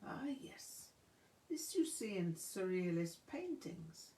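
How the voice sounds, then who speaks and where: A woman reading a memoir and voicing an earnest woman who puzzles things out in halting, breathy phrases. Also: on the low side, big pitch swings, mellow. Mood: pondering. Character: Annalie, earnest woman puzzling out song lyrics. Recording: roomy, echoing room sound.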